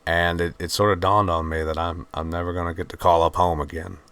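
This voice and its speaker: Low-voiced man with a Virginian-Georgian Southern accent. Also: flat delivery, brisk, fairly bright tone, clear, resonant tone, dry recording. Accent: mixed Virginian and Georgian Southern US. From Virginia, USA. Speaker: channel host. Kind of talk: reflective personal talk to camera.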